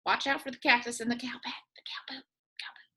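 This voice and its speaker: A woman reading a children's picture book aloud in a playful, expressive way, as a teacher does for young listeners. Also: fairly high pitch, fast talker, breathy edge. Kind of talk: animated children's storybook read-aloud.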